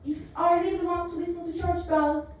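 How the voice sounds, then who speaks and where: A woman's voice: very high voice, little pitch movement, fairly quick, very noisy. A woman in her thirties doing a dry, self-mocking stand-up routine, full of fillers and small laughs. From Turkey. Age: 30s. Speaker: stand-up comedian. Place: live comedy venue, audience laughing. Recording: echoey room picked up from far off.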